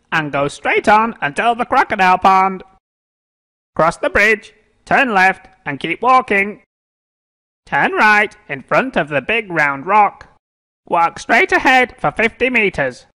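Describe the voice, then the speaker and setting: Excitable talking parrot, children's cartoon; bright, forceful squawk, swooping delivery. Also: medium pitch, unhurried. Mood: excited. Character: excitable talking parrot reading map directions. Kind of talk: children's cartoon story acting.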